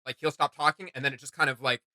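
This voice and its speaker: A man hosting a reaction video with casual, halting commentary, often searching for words and repeating himself. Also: medium pitch, fairly even intonation, sharp tone, no echo, clean studio sound.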